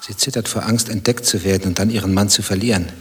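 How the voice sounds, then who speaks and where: A man's voice: on the low side, steady tone, quick pace, husky. An actor playing a sardonic, probing male skeptic in a radio play, questioning with dry sarcasm. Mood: dry, mocking. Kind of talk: German radio play dialogue acting.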